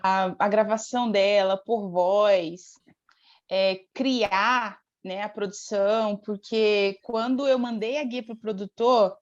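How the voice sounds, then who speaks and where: A Brazilian woman chatting casually as an interview guest, telling stories in an informal, animated way. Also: medium pitch, takes it slowly, dull audio. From Brazil, born in Rio de Janeiro state, raised in Paraná from age eight. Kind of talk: casual chat, storytelling.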